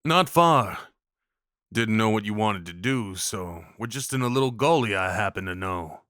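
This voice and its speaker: A man's voice: on the low side, animated, normal speed, a bit bright, dry recording. Man playing a folksy Old West cowboy, close and dry. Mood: gentle, reassuring. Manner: folksy, reassuring, informal. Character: kindly rough-spoken Old West cowboy guide, protective and awkward. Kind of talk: intimate audio roleplay acting.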